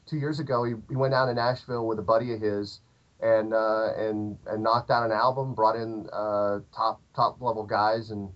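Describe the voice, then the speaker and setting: A man's voice: fairly low pitch, level tone, normal speed. A man in his thirties with a southern Illinois accent, chatting casually as a podcast guest, rambling with many fillers and restarts. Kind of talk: casual interview chat. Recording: dull lo-fi podcast audio.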